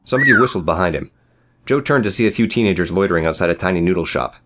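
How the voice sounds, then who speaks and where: A man's voice: deep voice, lively intonation, rapid-fire, noisy background. A man narrating a scripted, dramatic story as an audiobook reader.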